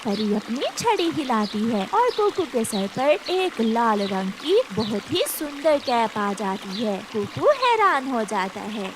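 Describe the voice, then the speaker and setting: A woman's voice: on the high side, very animated, slow pace, hardly any pauses, background noise. A woman narrating a scripted children's story.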